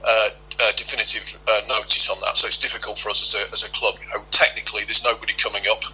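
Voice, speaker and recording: A man's voice: fairly low pitch, level tone, quick pace, sharp tone, slightly rough, low-quality recording. A middle-aged man answering interview questions as a football club official, explaining at length and repeating himself as he goes. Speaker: football club head of operations, interview guest.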